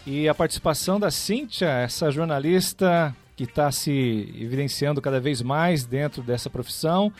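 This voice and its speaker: A male radio host with a Brazilian accent and a voice a little on the high side. He talks conversationally as he presents the show, with music playing underneath. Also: moderate pace, hardly any pauses.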